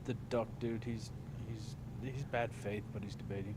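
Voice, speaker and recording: Needling man over clear rumbling noise. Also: steady tone, normal speed, a little mellow. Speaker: livestream debate panelist. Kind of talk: casual argumentative chat. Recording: rumbling vehicle-like background noise.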